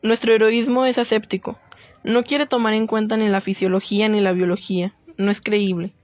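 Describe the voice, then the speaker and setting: A woman playing a reflective writer, narrating a radio play in a solemn, deliberate, literary way. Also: medium pitch, little pitch movement, no echo, lo-fi sound, faint music behind, close to an everyday voice. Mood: firm, defiant. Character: reflective woman writer narrating her search for women's war stories.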